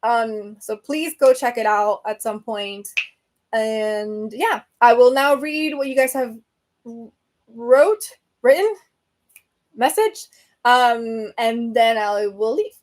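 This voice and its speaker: Chatty, rambling woman with a raised pitch. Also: slow pace. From Venezuela. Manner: chatty and rambling, many fillers and self-corrections. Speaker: host of a book podcast. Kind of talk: casual live-stream chat answering viewer comments.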